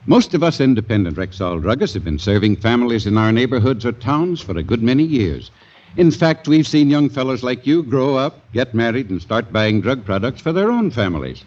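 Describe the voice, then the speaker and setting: A man's voice: medium pitch, big pitch swings, a little fast, a little mellow, dull audio. A man playing a kindly, patient family druggist in a folksy 1940s radio advertisement, on an old recording. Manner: folksy, explanatory.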